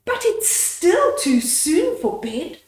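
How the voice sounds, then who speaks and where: A woman's voice: very high-pitched, very animated, a little mellow, echoey room, pitched above the performer's own voice, exaggerated voice. A woman voicing a pleading, stubborn little bunny girl in a children's storybook, drawing out her protests. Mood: pleading, protesting. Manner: drawn-out, protesting. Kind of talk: children's storybook read-aloud.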